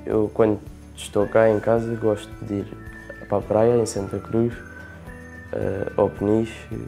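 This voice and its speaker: Gravelly, halting young Portuguese man. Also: on the low side, little pitch movement, warm tone, faint music behind. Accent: European Portuguese. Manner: halting, slow pauses. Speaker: university student. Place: dark indoor studio. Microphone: lapel mic.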